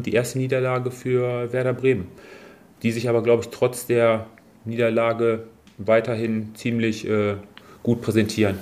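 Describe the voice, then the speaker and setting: A man hosting a casual football podcast, chatting in a relaxed way with many fillers. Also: medium pitch, a little hiss or hum. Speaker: podcast host.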